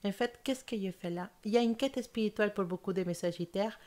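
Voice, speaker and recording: A woman's voice: mid-range voice, fairly quick, darkish tone. A young woman whose first language is not French, giving a monthly tarot reading in an earnest, hesitant way with many fillers and restarts. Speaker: tarot reader.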